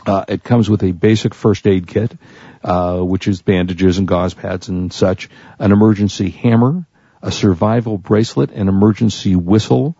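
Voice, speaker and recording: Low gravelly American male radio host. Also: fairly even intonation, moderate pace, warm tone, dry recording, lo-fi sound. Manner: jokey, teasing. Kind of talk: casual radio banter.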